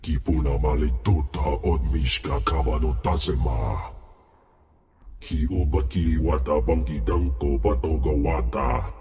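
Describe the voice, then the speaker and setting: A man's voice: a little slow, darkish tone, in a large hall, soft background music. A man voicing a huge, boastful slug-like alien crime lord who speaks only in an alien tongue, for a video game cut-scene. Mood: imperious. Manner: booming alien-language speech.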